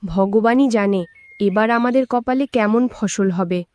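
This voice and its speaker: A woman's voice: mid-range voice, measured pace, a little mellow, close to an everyday voice. A woman playing a devoted, devout farmer's wife in a village cartoon, speaking earnestly and caringly. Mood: reassuring. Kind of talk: Bengali cartoon voice acting.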